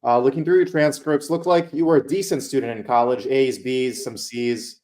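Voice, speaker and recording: Measured male mock interviewer. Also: mid-range voice, steady tone, a little mellow. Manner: measured, formal, deliberate questioning.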